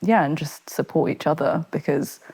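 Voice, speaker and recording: A woman from Britain with a low voice talks as a guest on a podcast. She rambles in a chatty, self-deprecating way, full of fillers. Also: normal speed, gravelly, a little mellow, slightly rough. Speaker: guest, fashion photographer. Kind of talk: casual podcast conversation.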